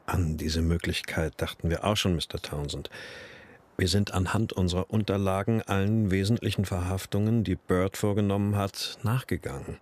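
An actor playing a patient, matter-of-fact police detective in a radio crime drama, explaining things in a measured, businesslike way. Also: male voice, deep voice, fairly even intonation, a little mellow.

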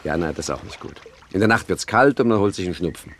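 Low-voiced roguish gangster, noisy backdrop. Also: male voice, lively intonation, quick pace, fairly bright tone. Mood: dry, pedantic. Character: roguish prosaic gangster boss of 1920s Chicago. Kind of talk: German radio comedy acting.

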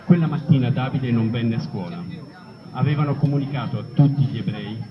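A man giving an expressive reading of a written first-person memoir. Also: mid-range voice, a little fast. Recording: distant, dull recording amid crowd noise.

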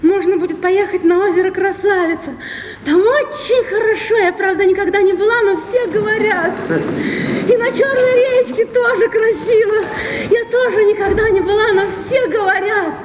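A woman's voice: extremely high pitch, normal speed. An actress playing a tender, brave woman in love in a stage drama, with a naive, tremulous delivery. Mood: tearful hope. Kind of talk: theatrical stage acting. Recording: echoey hall, dull noisy stage recording.

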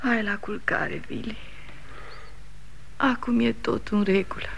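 An actress playing a weary, gentle middle-aged wife and mother, soft and coaxing, with a husky voice, in a radio play. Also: on the high side, steady tone. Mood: soothing.

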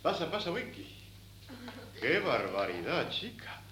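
An actor playing an eager, bossy, vain young son in a 1970s Spanish radio play, theatrical and excitable, with a Castilian accent. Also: male voice, on the high side, measured pace, exaggerated voice. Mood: excited, bossy. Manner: theatrical, excitable, stammers when flustered. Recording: hissy old cassette radio recording.

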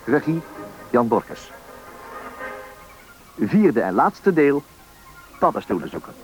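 A man's voice: medium pitch, big pitch swings, slow pace, loud music behind the voice. A man formally announcing a radio play, reading title and credits as a scripted introduction.

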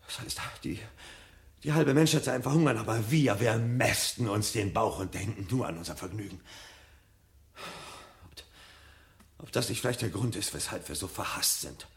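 A man's voice: mid-range voice, creaky, breathy edge. Troubled, brooding fireman. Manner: agitated, brooding, talks to himself. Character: troubled, searching fireman questioning his society. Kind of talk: 1970s German radio drama acting.

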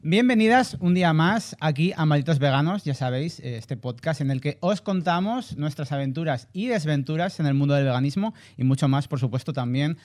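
A man's voice: fairly high pitch, lively intonation, fairly quick, hardly any pauses, darkish tone, soft background music. A man with a Spain Spanish accent hosting a casual podcast, welcoming listeners and introducing his co-hosts. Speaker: podcast host.

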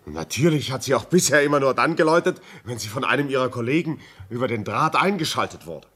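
An animated, husky voice of reason. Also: male voice, mid-range voice, fast. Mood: sceptical. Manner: emphatic, admonishing, reassuring. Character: rational, concerned gentleman urging a troubled friend to reason. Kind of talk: German radio play acting.

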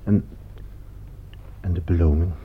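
An actor playing a nervous, unsure hired killer in a radio drama, halting and stammering over his words. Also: low-pitched, steady tone, breathy edge. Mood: uneasy. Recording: dull, noisy old radio play recording.

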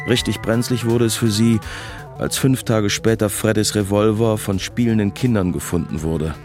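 An actor as a sober storyteller in a radio play, with a very low voice. He narrates in a measured, factual way over music. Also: a little mellow, close to an everyday voice. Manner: measured, matter-of-fact. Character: sober first-person narrator of a village tragedy. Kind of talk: scripted German radio drama narration. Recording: music behind the voice.

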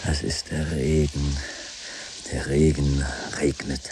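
An actor playing a brooding, deranged wanderer who murmurs to himself in a halting, repetitive radio drama monologue, sometimes drifting into whispers. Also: male voice, extremely low pitch, level tone, background noise. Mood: visionary.

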